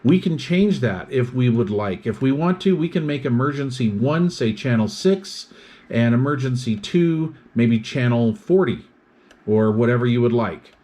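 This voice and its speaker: Male product reviewer, mid-pitched, methodical explainer walking through features in short, itemized phrases. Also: animated, normal speed, dark tone. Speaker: product reviewer demonstrating radio gear.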